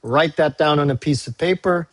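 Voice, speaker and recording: A male university English teacher, a native Tagalog speaker, recording a class briefing video; he talks patiently, repeats key dates and fills pauses with "uh". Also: a little high. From Philippines. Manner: frequent "uh" fillers.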